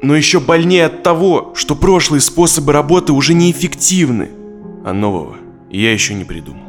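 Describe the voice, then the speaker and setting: A man's voice: on the high side, animated, moderate pace. An actor playing an anxious, self-doubting businessman in an audio drama, speaking reflectively and dramatically as a therapy client. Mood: troubled. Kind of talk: dramatized audio play acting. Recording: music behind the voice.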